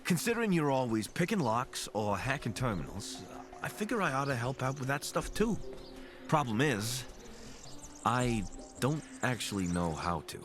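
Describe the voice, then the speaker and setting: An actor playing a wry, wounded wasteland companion in a video game, speaking in a casual, hesitant way. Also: male voice, medium pitch, big pitch swings, normal speed, lightly acted. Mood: easygoing.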